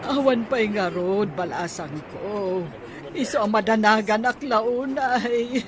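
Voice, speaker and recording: A woman's voice: a little high, slow pace, noisy background. A woman with an Ilocano accent playing a distressed older mother, plaintive and worried, in a radio drama. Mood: tearful, worried. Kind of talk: Filipino radio drama acting.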